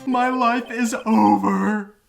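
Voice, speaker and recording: A man's voice: very high-pitched, fairly even intonation, slow pace, creaky, faint music behind, heavily acted. A man voicing a whiny, lovelorn young slacker with an overwrought, slangy delivery in a fan-dubbed game. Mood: wailing despair. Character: melodramatic, whiny young male slacker, lovelorn best friend. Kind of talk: fan-dub video game dialogue.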